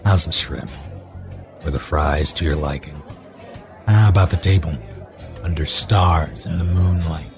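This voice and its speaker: Very deep, slow, sardonic actor as husband. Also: male voice, soft background music. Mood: bitter taunting. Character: brooding, sardonic, bitter husband in a horror drama. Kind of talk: audio drama acting.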